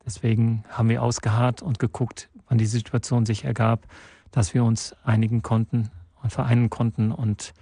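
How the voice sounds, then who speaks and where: A man born in Portugal and raised in the Netherlands, guesting in a radio studio and answering haltingly with many restarts and fillers. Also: mid-range voice, little pitch movement, dark tone, slightly rough, no echo. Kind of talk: radio interview.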